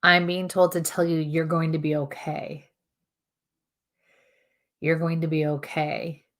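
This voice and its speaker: A woman medium giving spirit messages on a live show in a calm, earnest way, her throat strained as she loses her voice. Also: sits low, slow. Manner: calm, earnest, relays messages in repeated "I feel like" phrasing. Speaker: psychic medium and co-host. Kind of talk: live chat-room spirit readings.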